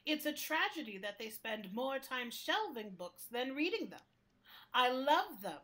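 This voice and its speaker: Prim library building for radio play: high-pitched, emphatic, scolding yet caring. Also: female voice, very animated, measured pace, a bit bright, heavily acted. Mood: earnest pleading. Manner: emphatic, mock-stern scolding.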